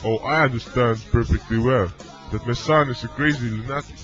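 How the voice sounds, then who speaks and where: A man's voice: mid-range voice, a little fast, fairly bright tone, natural voice. A young man playing a stern, sarcastic father in a student radio drama. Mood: angry, disowning. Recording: muffled amateur recording, music behind.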